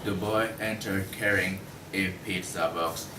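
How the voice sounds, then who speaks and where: A young man narrates stage directions at a student play reading. His voice is lowish and unhurried, recorded in an echoey room with faint noise. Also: fairly even intonation, far from the mic. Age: young adult. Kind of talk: scripted narration at a student table read.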